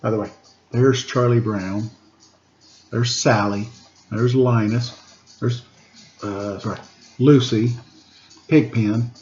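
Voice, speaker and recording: A man reading a children's story aloud in a playful, expressive way, with casual asides. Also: mid-range voice, slow pace, long pauses, a little hiss or hum. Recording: slightly dull, lo-fi audio.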